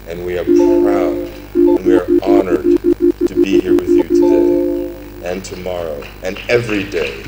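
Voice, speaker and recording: A man delivers a formal conference speech in a deep voice. He is earnest and measured, giving key phrases emphasis, while music plays clearly in the background. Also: low-quality recording. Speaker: foundation representative giving closing remarks. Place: conference event.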